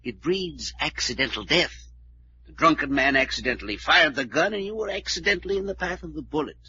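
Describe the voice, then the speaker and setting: A man's voice: on the high side, expressive, fairly quick, pushed and bright. An actor playing a formal, disapproving and insistent man with superstitious conviction, in old radio drama style. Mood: ominous insistence. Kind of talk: 1940s radio acting. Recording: dull, hissy old radio recording.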